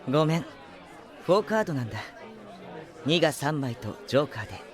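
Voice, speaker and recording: An actor playing a polite, composed, enigmatic youth in a Japanese audio drama, soft-spoken and boyish. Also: male voice, on the high side, very animated, brisk, a faint crowd. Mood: calm, polite.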